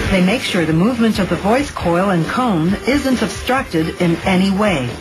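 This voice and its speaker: A woman reading scripted explanatory documentary narration in a measured, instructional manner, recorded as a studio voice-over. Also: medium pitch, low-quality recording. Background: background music.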